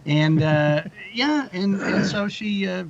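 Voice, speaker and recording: A man's voice: high voice, expressive, takes it slowly, creaky. A middle-aged man, a producer guest, telling casual anecdotes and acting out bits of dialogue in an interview. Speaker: guest, audio drama producer. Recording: slightly dull online interview audio.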